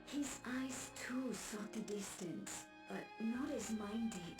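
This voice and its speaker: An actress playing a lovestruck, fragile woman in a video game, speaking in a hushed, halting, wistful way. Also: mid-range voice, far from the mic, natural voice. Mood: wistful longing. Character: lovestruck, fragile woman confessing devotion to her commander, a mother. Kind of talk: dramatic video game monologue. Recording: roomy echo under music.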